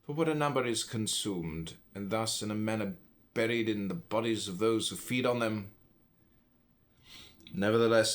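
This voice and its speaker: An American man reading classical philosophy aloud as an audiobook, in a solemn, emphatic, declamatory manner. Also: lowish voice. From United States. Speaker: audiobook reader.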